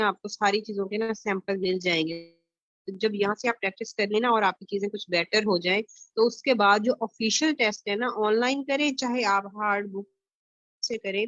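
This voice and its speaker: A woman's voice: mid-range voice, a bit bright, no echo. Woman teacher on a dull online call. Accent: Pakistani. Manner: explains patiently, many fillers. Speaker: IELTS teacher. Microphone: video call.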